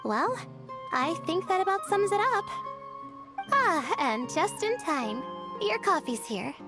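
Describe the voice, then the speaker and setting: A woman voicing a gentle, sleepy, timid young student in an anime fantasy game, with a soft, girlish voice and hesitant, measured delivery. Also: very high voice, sing-song, loud music behind the voice, heavily acted. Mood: calm, caring.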